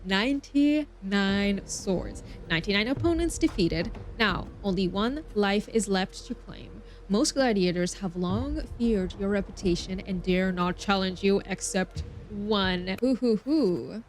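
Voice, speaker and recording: A woman game streamer reading story text aloud, stumbling over words now and then and breaking off into expressive asides. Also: mid-range voice, measured pace, few pauses, a little hiss or hum. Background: music.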